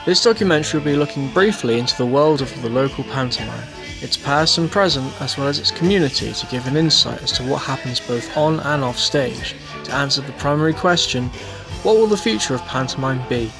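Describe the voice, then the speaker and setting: A man's voice: medium pitch, fairly quick, vocal fry, over music. A young adult man, narrating a documentary from a script.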